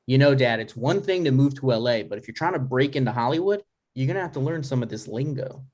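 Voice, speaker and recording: A man playing a skeptical grown son with a dry, halting delivery in a conversational comedy table read. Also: mid-range voice, lively intonation, darkish tone, close to an everyday voice. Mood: dry, teasing.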